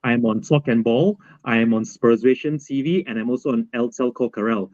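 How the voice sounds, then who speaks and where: A man's voice: medium pitch, darkish tone, dry recording, highs cut off. Polite man, dry sound. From Malaysia. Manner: formal and polite, with frequent "uh" pauses. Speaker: YouTube streamer and guest. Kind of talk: casual chat on a live stream.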